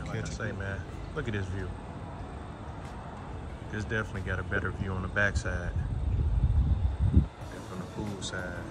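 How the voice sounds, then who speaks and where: A man's voice: sits low, level tone, normal speed, long pauses. A man in his thirties casually narrating a travel vlog in a laid-back, slangy way, often repeating himself. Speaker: travel vlogger. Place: outdoors on a city rooftop. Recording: loud background music, outdoor city rooftop.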